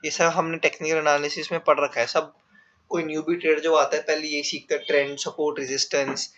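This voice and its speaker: A man's voice: on the high side, flat delivery, fairly quick, fairly bright tone. A man with a sore throat teaching a trading lesson while drawing on a chart, explaining step by step in a casual way. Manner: explains step by step, calls viewers "bhai". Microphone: no proper mic yet. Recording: dull lo-fi recording without a proper mic.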